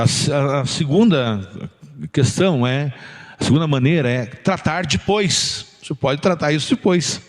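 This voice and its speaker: A middle-aged Brazilian man giving an informal sermon as a pastor, conversational and jocular, often tossing out rhetorical questions. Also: mid-range voice. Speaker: pastor preaching. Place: church building, preaching to a congregation. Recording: long reverberant hall sound.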